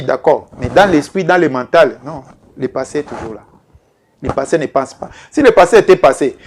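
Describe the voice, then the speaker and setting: A man in his fifties, a life coach giving a televised talk to viewers, speaking earnestly and repeating key phrases. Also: fairly high pitch. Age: 50s. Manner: slow, repetitive, earnest, addressing viewers directly.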